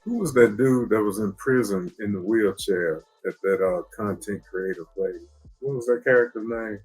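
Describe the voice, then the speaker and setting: A man's voice: lowish voice, normal speed, darkish tone, husky, low-quality recording. A man co-hosting a casual chat about a TV drama, laid-back, often restarting his sentences. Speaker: co-host of a TV discussion show. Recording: faint music behind.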